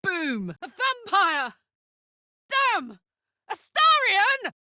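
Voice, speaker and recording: Hot-blooded warrior woman, video game character, swooping animated pitch, unhurried pace, blunt, earthy, big-hearted. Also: no echo, studio quality, heavily acted. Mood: excited battle cries. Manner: earthy, blunt, sweary, emotionally open.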